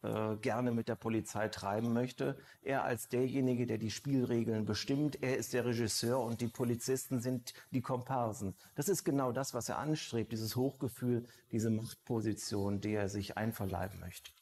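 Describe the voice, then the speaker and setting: An actor dubbing an analytical, emphatic crime expert in a documentary interview. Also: male voice, medium pitch, steady tone, normal speed, hardly any pauses, a little mellow, close to an everyday voice. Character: male crime expert explaining a killer.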